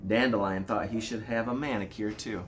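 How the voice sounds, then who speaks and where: Low-voiced man reading a storybook, background noise. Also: fairly even intonation, normal speed. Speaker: elementary school principal reading aloud. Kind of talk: children's storybook read-aloud.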